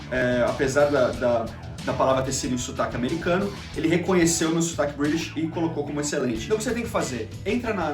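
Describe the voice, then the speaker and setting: A Brazilian man hosts a vlog in a chatty, conversational way, full of little fillers. He talks quickly, and his voice sits a bit high. He is recorded from a little way off in an echoey room, with faint music under him. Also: distant mic. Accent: Brazilian Portuguese. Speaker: YouTube channel host. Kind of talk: casual talk to camera. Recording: roomy echo, faint music behind.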